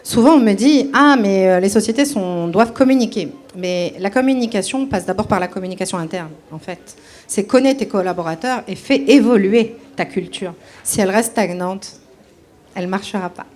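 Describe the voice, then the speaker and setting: Woman participant in a live discussion, mid-pitched, emphatic. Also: moderate pace, a little mellow. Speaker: workshop participant. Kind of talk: spontaneous discussion.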